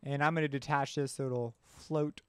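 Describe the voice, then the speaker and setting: A man narrating a casual software tutorial, talking informally as he thinks aloud. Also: mid-range voice, fairly even intonation, moderate pace, clean tone, no echo. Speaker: tutorial host. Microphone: close mic screencast voice-over.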